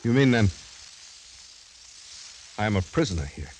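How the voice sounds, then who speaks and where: An actor playing a proud, formal young French gentleman in dramatic mid-century radio style. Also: on the low side, big pitch swings, normal speed. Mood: indignant. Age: young adult. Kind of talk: 1940s-50s radio acting. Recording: noisy vintage radio drama recording.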